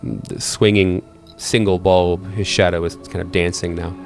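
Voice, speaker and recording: A low-voiced man runs a tabletop game as its game master. He tells the scene in halting, measured pieces, improvising as he goes, with music beneath him. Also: little pitch movement, creaky. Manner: halting, hesitant, measured storytelling.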